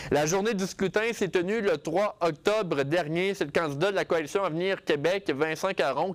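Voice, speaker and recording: A man presents a regional year-end news review. His voice sits a little high, and he reads his script steadily with the occasional hesitation. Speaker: news host.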